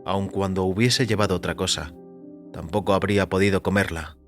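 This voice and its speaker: A man reading a first-person short story as a narrator, in a measured, deliberate way. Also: deep voice, steady tone. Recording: music under the voice. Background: background music.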